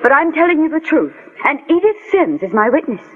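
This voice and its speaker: Animated, self-assured society lady. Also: sits high, brisk, phone-quality sound. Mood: accusing. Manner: formal, dramatic. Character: haughty, assertive society woman, accusing and self-assured. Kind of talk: 1940s radio acting. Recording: band-limited old 1940s radio recording.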